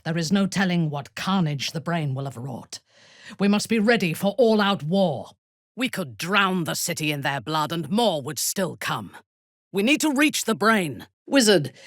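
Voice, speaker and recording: A woman playing a ruthless, imperious female dark elf warrior in a video game, with commanding, disdainful and measured delivery. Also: mid-range voice, no room sound, studio quality. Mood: urgent command. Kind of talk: video game character acting.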